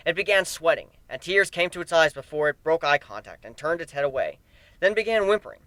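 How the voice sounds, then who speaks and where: A man's voice: a little high, animated, brisk, fairly bright tone, no room sound. A man narrating a first-person story dramatically, as an amateur reader.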